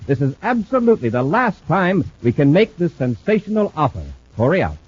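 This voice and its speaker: A man's voice: on the high side, sing-song, a little fast, darkish tone, slightly rough. An actor playing a brave male space commander, speaking in earnest, clipped 1950s radio drama style. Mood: urgent pitch. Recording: muffled old radio transcription.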